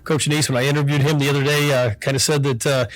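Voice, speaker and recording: A man hosting a sports radio show, asking questions in a brisk broadcaster manner with frequent fillers. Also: mid-range voice, level tone, no room sound.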